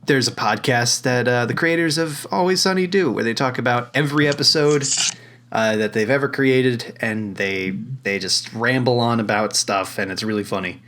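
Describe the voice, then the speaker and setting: A young man co-hosting a podcast, deep-voiced today, rambling with fillers and frequent laughs. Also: moderate pace, a bit bright. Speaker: podcast co-host. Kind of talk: casual chat.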